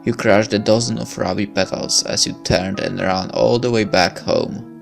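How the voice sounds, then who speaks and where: A man's voice: fairly low pitch, steady tone, normal speed, gravelly, soft background music. A man reading a visual novel aloud as narration, breaking into casual commentary as a let's player.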